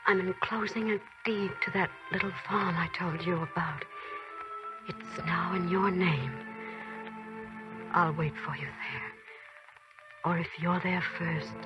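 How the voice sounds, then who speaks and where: A wounded army nurse who speaks very slowly in a faint, breathy voice. Loud music plays beneath her, and the sound is dull and muffled, like an old radio broadcast. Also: fairly low pitch. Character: weak wounded army nurse reading a letter. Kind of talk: 1940s radio drama acting.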